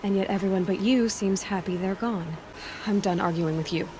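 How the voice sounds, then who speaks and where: Actress as blunt heroine over noisy ambience. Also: female voice, mid-range voice, normal speed, natural voice. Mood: firm, challenging. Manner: blunt, challenging. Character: blunt, defiant young female game heroine. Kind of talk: video game dramatic dialogue. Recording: clearly noisy game ambience.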